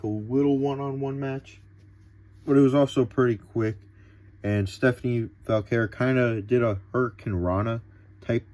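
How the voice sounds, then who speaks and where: A young man recapping a wrestling event in a casual, rambling way, full of fillers and restarts. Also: on the low side. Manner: excitable, rambling, filler-heavy. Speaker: wrestling show reviewer.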